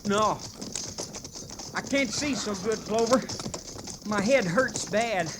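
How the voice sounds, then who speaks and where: An actor playing a stubborn, rough-hewn trooper in an old-time radio western, with folksy, ungrammatical talk. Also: male voice, high voice, takes it slowly. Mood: pained, weary. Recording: noisy, horses and outdoor sound effects behind.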